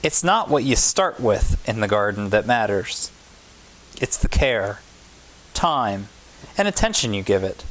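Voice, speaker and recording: A man's voice: lowish voice, sing-song, unhurried, a bit bright, low-quality recording. A man voicing a kind, patient older woman who gardens and reminisces in a storybook. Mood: gently teaching. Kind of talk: storybook character dialogue.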